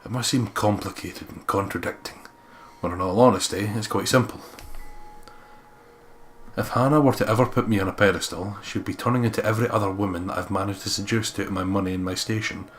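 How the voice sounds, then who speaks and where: Low-voiced man reading a game aloud. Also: brisk, a little hiss or hum. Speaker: let's play host. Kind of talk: reading a visual novel aloud with joking asides.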